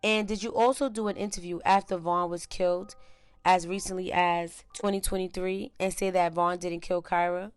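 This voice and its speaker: A woman's voice: lowish voice, little pitch movement, normal speed, clear, resonant tone, soft background music. A woman questioning a witness in court as a prosecutor, in a formal and methodical way.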